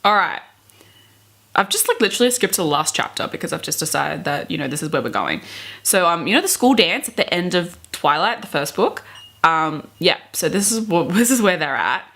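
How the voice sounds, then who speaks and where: A woman's voice: fairly low pitch, very animated, a little fast, fairly bright tone. A woman in her twenties giving dramatic readings of fan fiction with chatty, gushing asides, in a theatrical, giggly manner. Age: 20s. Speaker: book vlogger. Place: bedroom, indoors.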